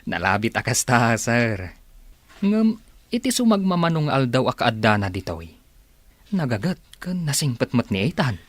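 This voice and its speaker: Obliging, lively-voiced male aide. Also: mid-range voice, normal speed, no echo. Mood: respectful. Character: deferential male aide doing detective errands, friendly when asking around. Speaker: radio drama actor. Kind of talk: Filipino radio drama acting.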